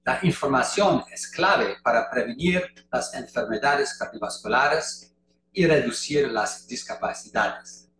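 Slow older man speaking carefully. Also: mid-range voice. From Canada. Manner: slow, deliberate delivery in a second language. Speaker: conference speaker. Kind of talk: prepared lecture. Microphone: video call webcam. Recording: echoey room, faint noise.

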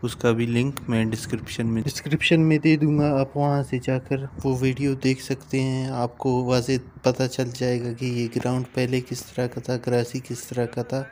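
Flat-toned man vlogging outdoors. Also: mid-range voice, a little hiss or hum. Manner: flat, steady and unhurried, with many repeats. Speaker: travel vlogger. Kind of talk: casual walking vlog. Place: outdoors at a cricket ground. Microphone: phone camera held at arm's length.

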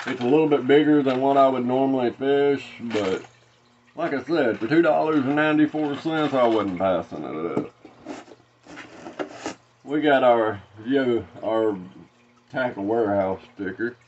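A man's voice: mid-range voice, a little slow, darkish tone, dull audio, slight background noise. A man in his thirties from Arkansas with a Southern accent, hosting a casual fishing-lure unboxing vlog. He talks in a rambling way, with many "uh" fillers and restarts, from a small tackle-filled room. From Arkansas, USA. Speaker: fishing channel host.

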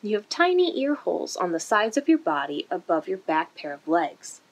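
A woman reading a children's picture book aloud in an expressive, deliberate way for young listeners, recorded indoors. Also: fairly low pitch, husky. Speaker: children's story-time reader for a museum. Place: indoor room.

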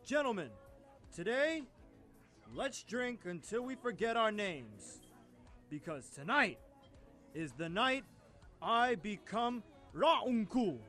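High-voiced man acting a proud, troubled father. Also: very animated, slow, long pauses, a bit bright, heavily acted. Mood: exuberant. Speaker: student actor. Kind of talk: live student audio theatre acting. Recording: music behind, live radio studio.

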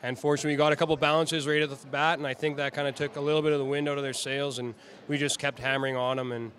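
Fast, flat-voiced young man. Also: a little high. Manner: fast, even, with many restarts. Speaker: hockey player. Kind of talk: post-game interview. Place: arena media backdrop, indoors. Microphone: handheld reporters' mics, close.

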